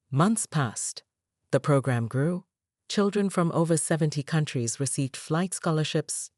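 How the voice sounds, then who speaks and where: A woman narrates a scripted story in a measured, dramatic way. Her voice sits low, yet her intonation swings widely and expressively. The recording is dry and close, with no room sound. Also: warm tone, no room sound.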